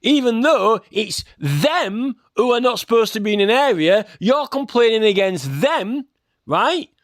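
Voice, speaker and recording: A man speaks in a noticeably high voice, recorded close and dry. He rambles informally like someone airing a complaint, pressing each point insistently and checking it as he goes. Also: animated, a little slow, dry recording. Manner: aggrieved, insistent, repeatedly tags points with "right?". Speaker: complainant campaigning about aviation safety. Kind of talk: rambling informal spoken monologue.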